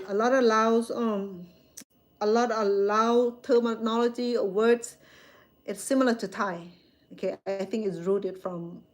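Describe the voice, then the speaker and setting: A woman, a Khmer speaker chatting casually with viewers on a live stream from her phone, rambling and correcting herself as she goes. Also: mid-range voice. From Cambodia. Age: 60s.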